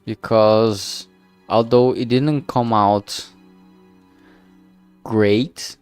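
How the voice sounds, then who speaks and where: Slow-talking, halting man, low voice. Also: fairly even intonation, a little mellow, smooth, faint music behind. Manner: halting, rambling, trailing off mid-phrase. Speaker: streamer, visual novel maker. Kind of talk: casual unscripted livestream progress update.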